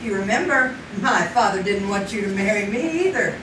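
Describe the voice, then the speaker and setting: A woman's voice: medium pitch, animated, brisk, close to an everyday voice. A woman playing a playful, motherly housewife in a live old-time radio drama. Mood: soothing. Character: warm, fussing mother of a teenager. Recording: roomy, distant live stage recording with noise.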